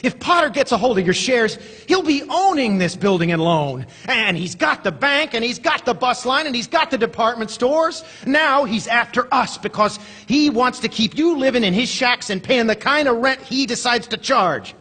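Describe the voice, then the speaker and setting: An actor playing an earnest, flustered young loan manager who stammers and pleads, in old-time radio drama style on a theater stage. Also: male voice, extremely high pitch, lively intonation, moderate pace, few pauses, pushed and bright, breathy edge, full character voice. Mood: urgent pleading. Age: young adult. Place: live stage in a large theater. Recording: echoey theater hall.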